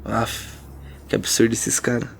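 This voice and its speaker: A man's voice: lowish voice, flat delivery, measured pace, vocal fry, slight background noise. A Brazilian man running a tabletop role-playing game as narrator, mixing theatrical, suspenseful storytelling with casual banter. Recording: loud background music under the voice.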